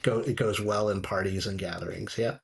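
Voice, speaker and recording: A man chatting casually as a show guest, halting as he searches for words. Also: fairly low pitch, steady tone, gravelly. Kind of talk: casual chat.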